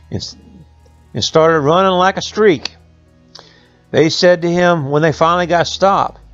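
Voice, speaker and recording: A man's voice: a little high, muffled recording. A man telling a folk tale in a folksy oral style, with rustic phrasing and conversational asides. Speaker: storyteller.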